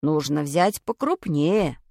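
A woman voicing a serious, bossy schoolboy in a children's story, speaking deliberately and emphatically. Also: low-pitched, animated, vocal fry, darkish tone, no echo, clean studio sound, voice pushed down, full character voice. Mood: doubtful, firm. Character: slow, serious, assertive schoolboy, bossy friend.

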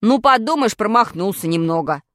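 A woman's voice: medium pitch, lively intonation, normal speed, fairly bright tone, dry recording, clean studio sound, voice pushed down, natural voice. A woman voicing a brash schoolboy schemer in a children's story, insistent and self-justifying. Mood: defensive. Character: brash, clumsy, excuse-making schoolboy schemer.

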